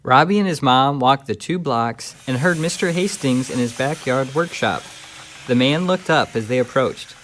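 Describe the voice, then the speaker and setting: A man's voice: medium pitch, animated, normal speed, a bit bright. A man narrating a scripted children's story for a puppet show. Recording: faint background noise.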